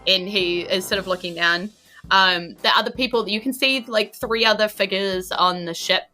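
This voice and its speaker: A woman with a New Zealand accent runs a tabletop fantasy game. Her voice is bright and forward at a medium pitch, and she narrates loosely and informally. Music plays behind her. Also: normal speed, poor audio. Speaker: game master.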